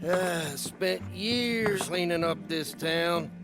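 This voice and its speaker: Casting: folksy, tough Old West lawman, American, high voice, measured delivery. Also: over music. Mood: smug. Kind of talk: video game western acting.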